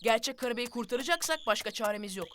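A woman voicing a brave, resolute child leader in a cartoon, giving firm, brisk commands. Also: fairly high pitch, lively intonation, fairly bright tone, a little hiss or hum. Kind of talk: cartoon voice acting.

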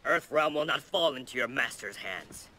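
An actor playing a steadfast young martial monk in a video game, earnest and composed in plain delivery. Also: male voice, mid-range voice, expressive, moderate pace, bright, forceful tone, slight background noise. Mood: resolute. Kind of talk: video game drama acting.

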